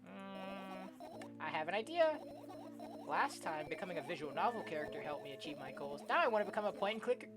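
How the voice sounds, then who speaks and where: A woman's voice: on the low side, very animated, fast talker. A woman streaming a game, reading its dialogue aloud and adding playful, casual, sweary comments of her own. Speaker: gaming streamer. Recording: music behind the voice. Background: video game music.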